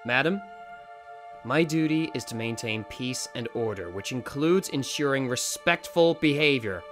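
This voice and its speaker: Man voicing young stallion captain, dutiful, good-natured, animated pitch, radio drama, light music behind. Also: a little slow. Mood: stern, official.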